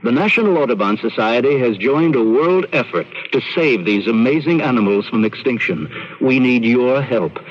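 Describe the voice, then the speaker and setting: A muffled, noisy vintage radio recording of a man announcing. He has a mid-pitched American voice with lively intonation, reading a scripted public service spot. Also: normal speed.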